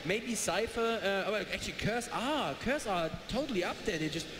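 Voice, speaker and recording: A man casting an esports match live, chatty and jokey, excitable. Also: high voice, moderate pace. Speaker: esports caster. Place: large event hall with live audience. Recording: echoey hall, noisy crowd.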